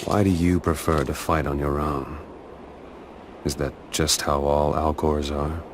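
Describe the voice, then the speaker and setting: A haunted, world-weary warrior in a fantasy game. His voice is extremely deep, with a grim, terse delivery. There is clear noise in the audio. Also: level tone, a little slow. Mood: weary, resigned. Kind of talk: dramatic video game cutscene acting. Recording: noisy game cutscene audio.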